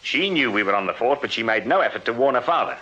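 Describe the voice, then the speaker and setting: An actor playing a shrewd, unflappable British police sergeant in a Victorian radio drama, measured, formal and dryly authoritative. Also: male voice, medium pitch, expressive, sharp tone. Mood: measured explaining. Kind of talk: BBC radio period drama acting. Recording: muffled, very noisy radio drama recording.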